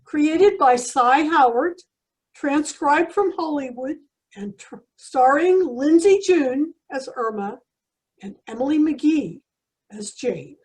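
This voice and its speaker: A woman's voice: high voice, animated, takes it slowly, darkish tone, rough recording. A woman announcing an old-time radio comedy show.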